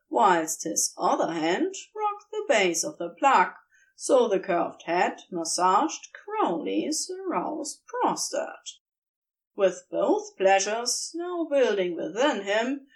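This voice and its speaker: A woman reading fan fiction aloud, with slow, expressive storytelling. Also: fairly high pitch. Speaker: podfic reader.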